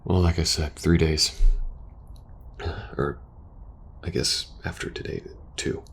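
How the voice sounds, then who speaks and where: Man acting husky, very deep-voiced devoted soldier. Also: little pitch movement, full character voice. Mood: wistful, resigned. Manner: hushed, hesitant, halting. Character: devoted young male soldier, anxious, tender toward best friend. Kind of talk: intimate ASMR audio roleplay acting.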